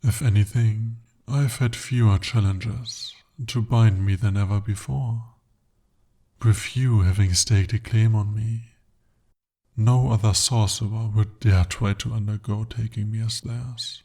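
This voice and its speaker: A man's voice: fairly low pitch, fairly even intonation, dark tone, breathy edge. A man voicing a devoted, possessive male demon in an ASMR roleplay, speaking formally and intimately. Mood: tender devoted. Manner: formal, intimate, slow-spoken address.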